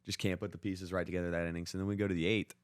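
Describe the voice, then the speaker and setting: A man's voice: low voice, level tone, rapid-fire, clear, resonant tone, no echo. A young American man telling sports stories in casual, slangy talk peppered with "like," as a podcast co-host. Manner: informal, slangy, heavy use of 'like'. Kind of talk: casual sports chat, storytelling.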